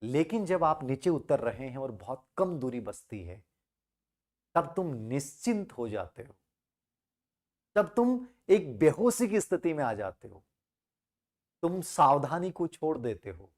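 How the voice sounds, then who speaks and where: A man's voice: a little high, big pitch swings, unhurried, long pauses, a little mellow. A man telling a moral story as a teacher, emphatic, repeating phrases and asking rhetorical questions. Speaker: teacher and motivational speaker.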